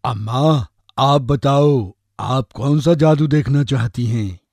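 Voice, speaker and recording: Cartoon magic eggplant, played by a man: friendly helper, mid-pitched, chummy and reassuring, close dry recording. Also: slow pace, gravelly, a little mellow, no room sound. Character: friendly, confident talking magic eggplant, eager to help. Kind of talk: animated moral story voice acting.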